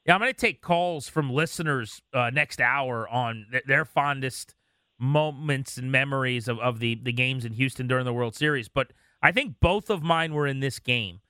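A man's voice: medium pitch, moderate pace, pushed and bright, clean tone, dry recording, clean studio sound. A man hosting a sports radio show, chatting casually with a fan's enthusiasm. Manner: enthusiastic fan, conversational.

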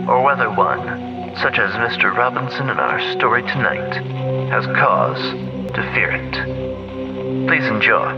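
Male presenter for old-time radio story intros: muffled recording, prominent music underneath. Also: mid-range voice. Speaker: show host introducing the story. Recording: dull band-limited sound under loud music.

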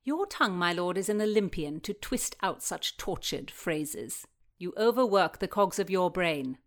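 A woman voicing a resolute, sharp-witted noblewoman in a historical story, formal and commanding in manner. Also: lowish voice, lively intonation, unhurried. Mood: firm, wry. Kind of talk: dramatized historical fiction reading.

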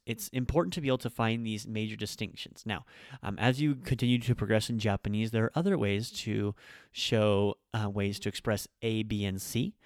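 Male language teacher, low register, relaxed chatty delivery, dry recording. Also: creaky, dry recording. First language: English. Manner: chatty, explains with examples, self-correcting. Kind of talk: casual grammar lesson.

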